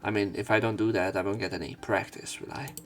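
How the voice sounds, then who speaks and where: A man's voice: lowish voice, fairly even intonation, fast talker, faint music behind. A man narrating a visual novel let's play, reading the story aloud with casual commentary.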